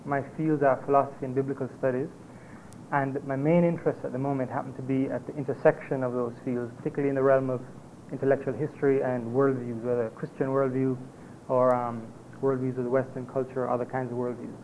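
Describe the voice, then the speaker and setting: A man with a Jamaican accent presenting an academic paper at a conference, in a measured lecturing manner with occasional hesitations. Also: mid-range voice, flat delivery, darkish tone. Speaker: conference speaker. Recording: tinny phone-like lo-fi recording with faint hiss.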